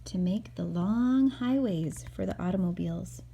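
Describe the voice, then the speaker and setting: A woman's voice: on the low side, normal speed, gravelly, mellow, husky. A woman reading a picture book aloud to her young child, with casual asides as she goes.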